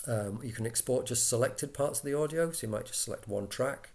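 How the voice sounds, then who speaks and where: A man talking through an informal software tutorial, casual and a little hesitant as he thinks aloud into a laptop microphone. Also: mid-range voice, fairly quick, creaky. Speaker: tutorial presenter for radio volunteers. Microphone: built-in laptop microphone. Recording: laptop mic, background noise, key clicks.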